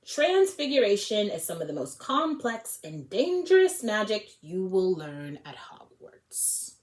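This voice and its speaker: Stern, clever woman teacher of magic voiced by a female storybook reader, very measured, weighty delivery. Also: mid-range voice, very animated. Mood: stern warning. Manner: stern, deliberate, drawn-out warning.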